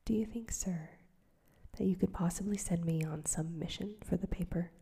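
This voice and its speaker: A woman voicing an earnest, eager young male reporter in a breathy whisper. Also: lowish voice, moderate pace, mellow, heavily acted.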